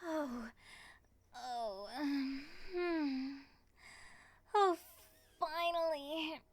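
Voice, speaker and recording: A woman voicing an excitable, suspicious moth monster woman with theatrical, expressive delivery in an ASMR roleplay. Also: high voice, very slow, soft background music. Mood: groggy, surprised.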